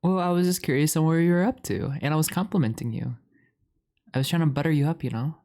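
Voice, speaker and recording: A man's voice: on the high side, fast, a little mellow. Rambling, playful man in boyfriend roleplay.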